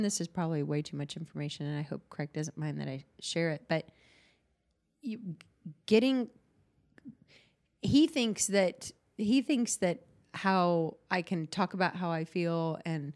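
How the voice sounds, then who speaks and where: A woman hosting a podcast in casual conversation, her voice sitting on the low side. She talks candidly and haltingly, restarting sentences and reaching for fillers as she works out her thoughts. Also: darkish tone. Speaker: podcast host and counselor.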